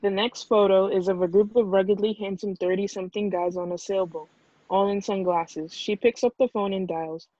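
A woman's voice: medium pitch, steady tone, moderate pace, dark tone, dry recording. A woman reading stage directions aloud as scripted narration at a film table read. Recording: dull lo-fi video call audio.

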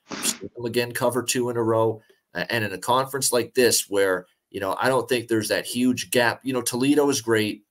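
A young man co-hosting a sports betting show, talking steadily over a video call with lots of filler words. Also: medium pitch. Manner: steady, talkative, many "uh" fillers. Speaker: sports betting podcast co-host. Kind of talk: casual sports betting analysis. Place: home room, indoors.

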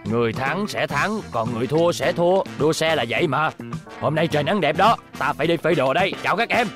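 A man's voice: on the high side, animated, brisk, close to an everyday voice. Guy doing an old cartoon teacher, hems and haws, wont commit to anything, music in the back. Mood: hedging, placating. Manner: hesitant, hedging. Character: elderly male schoolteacher, evasive and comically noncommittal. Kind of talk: Vietnamese cartoon dub.

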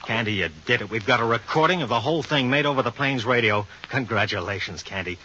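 A self-assured police inspector, talking fast in a forceful, lowish voice. It is a brisk 1950s radio drama performance on a muffled old recording. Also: male voice, husky. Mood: brisk, pleased. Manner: brisk, matter-of-fact.

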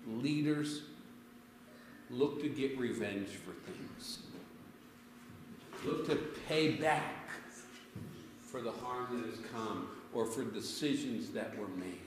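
A man's voice: medium pitch, slow, pauses often, noisy background. A middle-aged man preaching a conversational sermon to a congregation, telling stories and asking them questions, picked up by a distant camera. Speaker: pastor. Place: church sanctuary, before a congregation. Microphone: far camera. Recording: echoey church from a distant camera.

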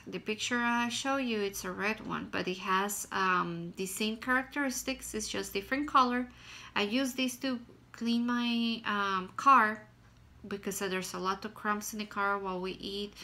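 A woman's voice: mid-range voice, normal speed, bright, forceful tone. A woman in her thirties from Guatemala with a Guatemalan Spanish accent, giving a casual product review at home, plain and hesitant with frequent fillers. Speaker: vlogger. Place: small room at home, indoors.

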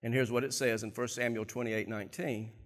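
A middle-aged man preaching a sermon from a church stage on a lapel mic, animated and swinging between quiet and loud passages. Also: mid-range voice, a little fast. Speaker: pastor.

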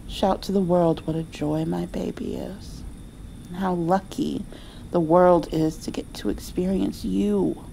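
Doting mother, played by a woman, intimate ASMR comfort roleplay: soft, affectionate, dark mellow tone, slow delivery. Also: fairly low pitch, vocal fry, a little hiss or hum, exaggerated voice, poor audio. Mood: loving, earnest. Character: doting, playful, comforting mother of a grown child.

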